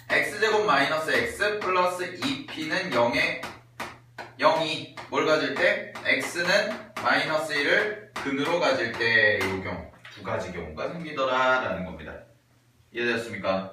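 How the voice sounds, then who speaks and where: Male math teacher, hoarse voice, noisy echoey classroom. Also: fairly high pitch, distant mic. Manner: lively, checks in with students often. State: voice going hoarse.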